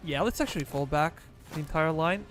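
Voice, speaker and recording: Man, Serbian accent, slightly high voice, live game commentary, casual orders to troops, faint music behind. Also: brisk, fairly bright tone. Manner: casual, talking to chat while giving orders to troops. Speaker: game streamer.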